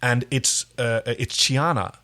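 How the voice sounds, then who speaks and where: A man's voice: mid-range voice, fairly even intonation, slow, sharp tone. Effusive man chatting on a podcast. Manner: enthusiastic, effusive. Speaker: podcast co-host. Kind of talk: casual episode recap chat.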